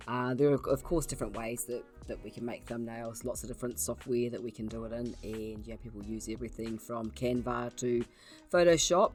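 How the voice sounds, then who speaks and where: A woman with a croaky voice from being unwell, hosting a casual software tutorial and explaining her steps in a chatty way with many ums. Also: very deep voice. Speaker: YouTube channel host.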